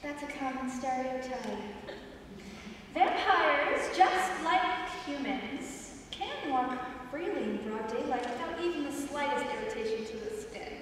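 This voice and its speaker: A woman's voice: sits high, a little slow. Young woman playing a self-serious would-be vampire. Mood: earnest. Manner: deadpan, offended, lecturing. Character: earnest young woman who calls herself a practicing vampire. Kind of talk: student stage play. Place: theater stage, audience. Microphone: far camera in the audience. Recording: echoey hall from far off, faint noise.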